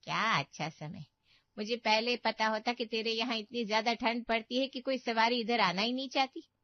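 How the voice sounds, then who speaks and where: A woman's voice: a little high, normal speed, dry recording, lightly acted. A woman voicing a boastful, fault-finding elder aunt in a Hindi cartoon family story, with a scolding, exclamatory manner. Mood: scolding, complaining. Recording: dull, muffled studio voice track.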